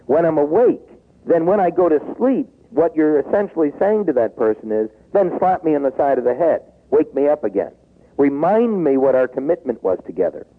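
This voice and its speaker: A man in his sixties talking in a taped interview, acting out quoted pleas and dropping low when he turns reflective. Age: 60s. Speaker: business author guest. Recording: tinny phone-like tape.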